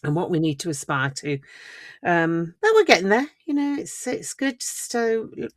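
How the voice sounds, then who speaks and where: Low, dry-sounding woman podcast co-host. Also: big pitch swings, moderate pace, no echo. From England. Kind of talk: casual chat.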